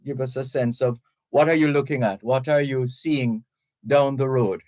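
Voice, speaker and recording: A male radio host conducts a live interview. His phrasing is measured and deliberate, sometimes halting as he frames a question. The sound is dry, with very little room around the voice. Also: medium pitch, fairly even intonation, darkish tone, no room sound.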